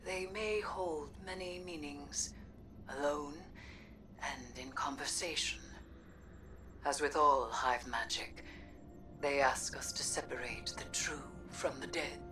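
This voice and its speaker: Low, slow, cryptic witch oracle. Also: distant mic, music in the background, poor audio. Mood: grave, mysterious. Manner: measured, grave, mysterious. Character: solemn, cryptic witch oracle of dark magic. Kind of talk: video game character acting.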